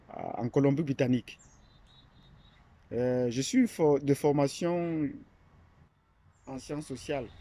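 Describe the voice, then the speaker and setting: A man's voice: mid-range voice, mellow. A man from Cameroon, an academic, talking unscripted and hesitantly about a platform he founded, with many fillers and restarts. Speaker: academic presenting his platform. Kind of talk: unscripted monologue.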